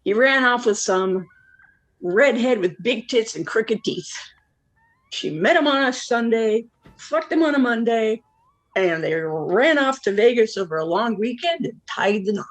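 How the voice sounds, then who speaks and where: Jilted woman for one-act drama, played by an actress: slow, confessional, world-weary, deadpan with a bitter edge. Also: on the high side, rough recording. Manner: candid, rueful, deadpan asides.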